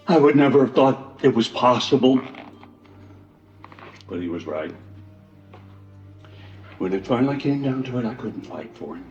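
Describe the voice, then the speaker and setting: Casting: a man to play an earnest, wounded fisherman disciple for Passion drama; halting, emotional, mid-pitched, echoing hall. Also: animated, close to an everyday voice. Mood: anguished regret. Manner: halting, emotional storytelling with swells and hushed drops. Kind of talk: church Passion play monologue. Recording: echoey church hall, dull sound, music.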